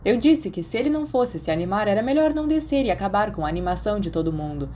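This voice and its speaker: A good-humored, bossy teenage schoolboy with a Brazilian accent, voiced by a woman for an audiobook. He sounds casual, teasing and a little tipsy, in a mellow, soft-toned voice. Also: medium pitch, very animated, fast, a little hiss or hum, pitched above the performer's own voice, close to an everyday voice. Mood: mildly exasperated. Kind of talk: audiobook character dialogue.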